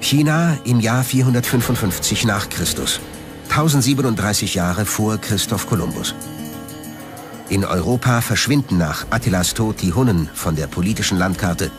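Male documentary narrator, scripted read with lively pitch movement, music underneath. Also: normal speed. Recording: music behind the voice. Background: background music.

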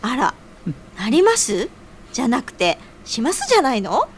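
Woman playing bossy romantic female senior, high voice, very lively intonation, drawn-out phrasing, audio drama. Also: slight background noise. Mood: playfully demanding. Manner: drawn-out, feminine, nudging speech.

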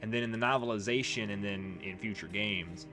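A man's voice: on the low side, a little fast. A man giving casual, swear-prone gameplay commentary as the host of a let's play. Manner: profane, exasperated when struggling. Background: video game music.

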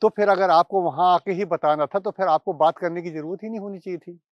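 A man, giving halting explanatory commentary to camera with deliberate pauses. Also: high voice, no echo, dull audio. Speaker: presenter. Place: outdoors by water and trees.

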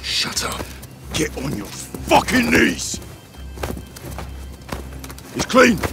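A hot-headed commando from a war game. His voice is high and gravelly and swings widely as he barks orders and curses. Loud music plays over it. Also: sing-song, drawn-out words, heavily acted. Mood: commanding. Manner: swearing, barking commands. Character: fierce, hot-tempered male special-forces soldier. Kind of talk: military action game acting.

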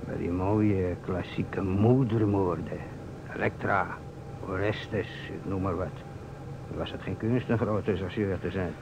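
An actor playing a world-weary, talkative police inspector in a radio drama, wry and grandiloquent. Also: male voice, low-pitched, fairly even intonation, unhurried. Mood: wry lament. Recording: muffled, noisy old radio recording.

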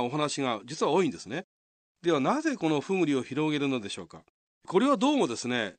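A man's voice: on the high side, no echo. A man hosting, explaining a folk tale in a polite, conversational way. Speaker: host.

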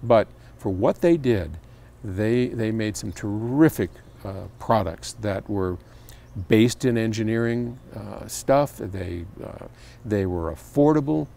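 Gravelly older man chatting on outdoor steps. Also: on the low side, animated, takes it slowly, warm tone. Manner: chatty, rambling, with many fillers and restarts. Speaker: audio company founder answering viewer questions. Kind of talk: casual talk to camera. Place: outdoors on stone steps by a garden.

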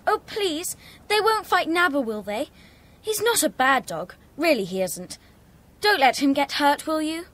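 A woman's voice: high-pitched, sing-song, measured pace, a bit bright, exaggerated voice. A woman playing a worried, kind-hearted young child with an English accent in a children's audio drama. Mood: pleading.

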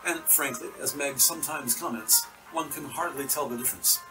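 Male narrator, scripted crime-story read, medium pitch, slightly distant, instrumental music underneath. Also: normal speed. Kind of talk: scripted present-tense detective story narration.

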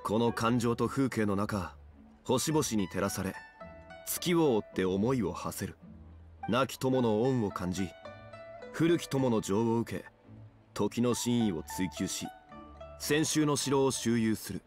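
A man voicing a dignified, ageless gentleman scholar in a Japanese video game, formal, measured and solemn. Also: lowish voice, expressive, soft background music. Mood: solemn, poetic.

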